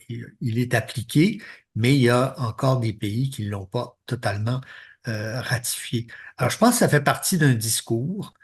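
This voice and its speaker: A man, co-hosting a political podcast and explaining events in a measured way. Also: mid-range voice, breathy edge. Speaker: podcast co-host. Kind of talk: analytical political discussion.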